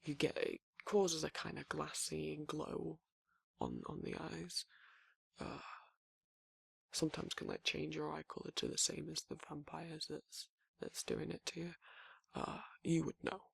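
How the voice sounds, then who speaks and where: A man voicing a gruff, laid-back werewolf boyfriend in an intimate ASMR roleplay, with a husky gravelly voice and a halting, rambling, casual delivery. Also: on the high side, animated, a bit bright, dry recording, full character voice. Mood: explaining, reassuring.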